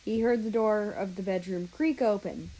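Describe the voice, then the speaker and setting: A woman's voice: mid-range voice, normal speed, warm tone, rough recording. A woman reading a third-person fan fiction story aloud as a narrator.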